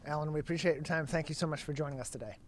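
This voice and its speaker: A young American man hosts a broadcast with a fairly high-pitched voice and a brisk, fast delivery. He sounds formal and presentational, speaking close to a studio microphone. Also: a crowd in the background. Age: young adult. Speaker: talk show host. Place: studio with curtain backdrop. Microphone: close studio mic on boom arm.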